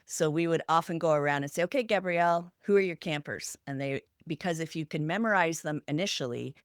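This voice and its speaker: A woman's voice: deep voice, brisk, no room sound. A woman co-hosting a podcast in a conversational, advice-giving style. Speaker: podcast co-host.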